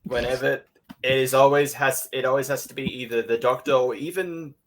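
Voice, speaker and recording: A man's voice: medium pitch, fairly even intonation, fairly quick, slight background noise. A man on a podcast panel talking casually and matter-of-factly. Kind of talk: casual review discussion.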